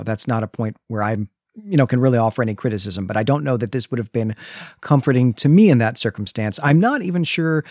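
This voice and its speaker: A man's voice: mid-range voice, a little mellow, dry recording, clean studio sound. A man in his forties hosting a podcast, discussing an album in a reflective, articulate, conversational way. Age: 40s. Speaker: podcast host.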